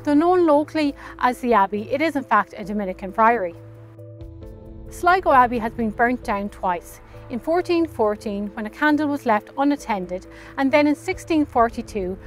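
An Irish woman in her thirties, a tour guide, narrating a scripted walking tour outdoors on a town street in a clear, measured way, recorded on a lapel mic. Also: on the high side, expressive, faint music behind. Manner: clear, measured storytelling delivery.

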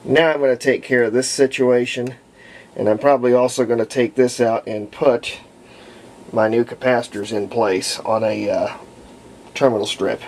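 A man giving casual hands-on repair commentary as a hobbyist, thinking aloud in a halting way with folksy wording. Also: medium pitch, steady tone, darkish tone. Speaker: hobbyist radio restorer. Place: indoor workbench. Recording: noisy handheld camera audio.

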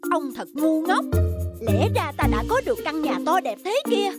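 A woman voicing a greedy, scolding old village wife in a cartoon folk tale, with a shrill, complaining manner. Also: very animated, normal speed, loud music behind the voice. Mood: bitter scolding.

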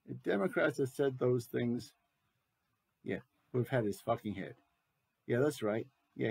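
A man's voice: medium pitch, creaky, darkish tone, husky, heavily acted. A man voicing a crude, boastful president in his sixties, ranting emphatically down the phone in a satirical stage monologue. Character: crude, bitter, boastful 68-year-old male American president.

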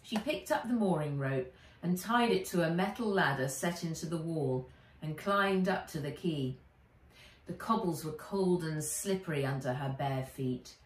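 A middle-aged woman, an author reading aloud from a children's storybook in an expressive narrating style. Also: low-pitched, a little slow. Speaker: author reading own book. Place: living room or sunroom, indoors. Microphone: camera or phone a few feet away. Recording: roomy, distant home recording.

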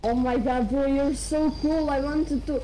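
A woman's voice: high-pitched, steady tone, a little slow, dark tone, slight background noise, poor audio. A woman chatting casually over game voice chat, sweary and full of slang. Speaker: random squad teammate.

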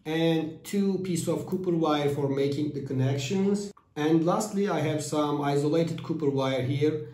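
DIY tutorial host, man in his 30s, very dark mellow tone, halting phrasing, echoey room. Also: mid-range voice. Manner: halting non-native phrasing with fillers. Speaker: DIY electronics channel host. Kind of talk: step-by-step build tutorial. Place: small room with whiteboard, indoors.

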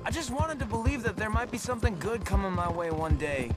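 A man's voice: sits high, a little fast, natural voice. An actor playing an earnest, naive young man in a video game, plaintive and easily put on the defensive. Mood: defensive, dejected. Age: young adult. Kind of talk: naturalistic video game drama acting. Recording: music behind game dialogue.

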